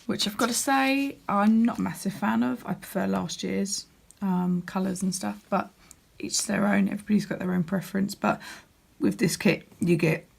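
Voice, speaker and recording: A woman with a croaky voice, chatting casually as she walks through a crafting catalogue, with lots of ums and restarts. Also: mid-range voice, steady tone, normal speed. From United Kingdom. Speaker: crafting demonstrator. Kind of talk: casual product walkthrough.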